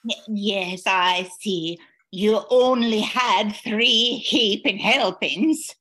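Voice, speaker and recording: A woman's voice: mid-range voice, very slow, fairly bright tone, slight background noise. An older woman playing a loyal, wry housekeeper and cook in an amateur radio mystery. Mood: wry, measured. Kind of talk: amateur old-time radio mystery acting.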